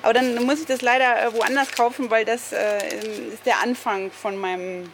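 A woman's voice: a little high, fairly even intonation, moderate pace. A woman working as a reporter, talking casually into a handheld microphone in a small shop. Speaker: reporter and radio play maker. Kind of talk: casual field conversation. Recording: noisy on-location field recording.

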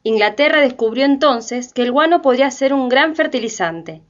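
A woman's voice: high-pitched, lively intonation, normal speed. A woman narrating a radio drama from a script. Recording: dull lo-fi, faint music behind.

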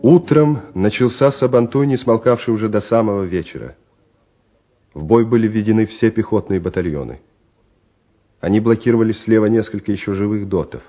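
A man's voice: on the low side, fairly quick. A man narrating a war radio play in a scripted, dramatic storytelling style. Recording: dull radio recording with music behind.

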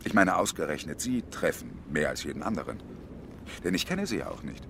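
An actor in a radio drama playing a bitter, sardonic unemployed engineer around fifty, speaking in a formal, wordy way. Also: male voice, rumbling low, very animated, brisk, vocal fry, noisy background, exaggerated voice. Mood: subdued, evasive. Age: 50s.

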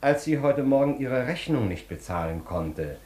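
An actor in a German radio play, playing an obsequious, insinuating hotel porter who is deferential and gossipy. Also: male voice, medium pitch, sing-song, moderate pace, a little mellow, roomy sound, natural voice. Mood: confiding, insinuating.

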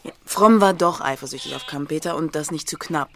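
A woman's voice: deep voice, fairly quick, crowd noise. An actress playing an inquisitive, teasing female journalist in a naturalistic radio crime drama. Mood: confiding. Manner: teasing, pressing with questions.

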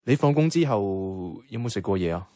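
A man with a Hong Kong Cantonese accent playing a caring, easygoing young flatmate in a radio drama, talking casually and earnestly. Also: lowish voice, normal speed, no echo, clean studio sound, natural voice. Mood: caring, coaxing. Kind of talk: conversational radio drama dialogue. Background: background music.

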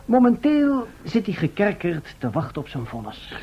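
A man's voice: high voice, wildly swooping pitch, moderate pace, husky, dull audio, full character voice. A man playing an unruffled, matter-of-fact visitor from the future in an old radio drama. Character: matter-of-fact male time traveller from the far future.

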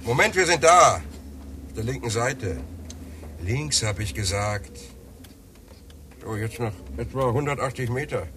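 An actor in a radio play playing a gruff, sarcastic, bossy technician on a work crew. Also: male voice, medium pitch, animated, a little slow, long pauses, natural voice. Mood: sarcastic, impatient. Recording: loud background noise.

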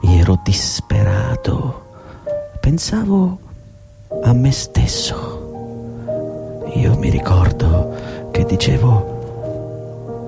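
An unhinged, vengeful sacked employee in a radio play. His pitch lurches dramatically and his delivery is slow and halting, with formal, repetitive phrasing. Music plays clearly behind him. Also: exaggerated voice. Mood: tormented. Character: vengeful, desperate, dismissed old employee, unhinged. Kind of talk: theatrical radio drama acting.

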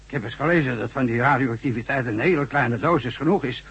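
A man's voice: medium pitch, fast talker, a bit bright, husky. A man acting a worried, matter-of-fact construction boss in a science fiction radio drama. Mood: grave, decisive. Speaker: actor in a radio play. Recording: dull, noisy old radio recording.

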